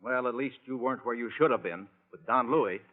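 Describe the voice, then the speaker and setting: An actor playing a self-important, blustering and indignant doctor in a 1940s radio drama. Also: male voice, mid-range voice, fairly quick, lightly acted. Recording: tinny phone-like old radio recording.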